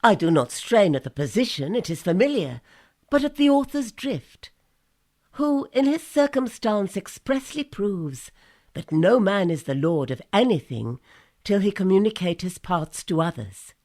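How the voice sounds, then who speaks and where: A woman playing a cool, crafty Greek strategist in a Shakespearean audio drama, measured and articulate in delivery. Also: medium pitch, very animated, a little mellow. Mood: sly, musing.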